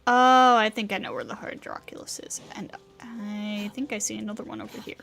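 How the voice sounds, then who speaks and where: A woman's voice: mid-range voice, fairly even intonation, fairly quick, creaky, fairly bright tone. A woman game streamer giving casual live commentary, musing aloud to herself as she plays. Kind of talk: casual livestream gameplay commentary. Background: faint game music.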